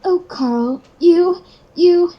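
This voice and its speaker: A woman voicing a whiny, overwrought, lovestruck girl in a comedic fan fiction reading, with halting delivery. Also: extremely high pitch, muffled recording, pitched above the performer's own voice, exaggerated voice. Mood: gushing. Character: dramatic, flustered, whiny girl in love. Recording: clearly noisy home recording.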